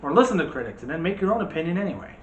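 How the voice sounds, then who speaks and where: Man with higher-pitched voice, echoey room. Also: very animated, rapid-fire, muffled recording. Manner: playful, teasing banter. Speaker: host of a movie channel. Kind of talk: casual movie discussion. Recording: echoey room with faint background noise.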